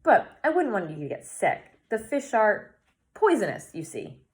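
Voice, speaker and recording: Woman reading as a gentle, sorrowful old woman. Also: medium pitch, big pitch swings, a little slow, pitched above the performer's own voice. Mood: anxious, gentle. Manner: gentle, soft-spoken. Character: kindly sorrowful old woman, tender toward a child. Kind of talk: children's novel read-aloud.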